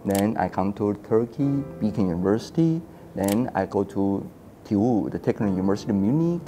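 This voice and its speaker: A man's voice: on the low side, animated, brisk, dark tone, faint music behind. A man in his forties from Taiwan, an architecture historian telling his story in a casual interview, acting out quoted dialogue and laughing often. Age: 40s.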